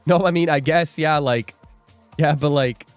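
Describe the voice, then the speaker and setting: A man's voice: on the high side, a little slow, faint music behind. A young man hosting a live trading stream, joking and rambling as he reads viewer comments aloud. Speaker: livestream day trader host. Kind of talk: casual livestream chat.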